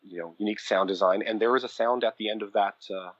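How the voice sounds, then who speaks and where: A man's voice: fairly low pitch, steady tone, quick pace, no room sound, muffled recording. A man who writes and produces audio dramas, a guest on a podcast, explaining his process thoughtfully with frequent restarts. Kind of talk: casual interview chat.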